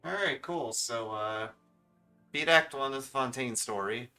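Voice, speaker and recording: A man with a very bright, sharp voice gives casual, grumbling game commentary to his stream. He sits a little away from the mic, with game music faintly behind him. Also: mid-range voice, a little slow, clear, resonant tone, recorded from a distance. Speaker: livestreamer playing a video game. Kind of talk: casual gameplay commentary to chat. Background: video game music.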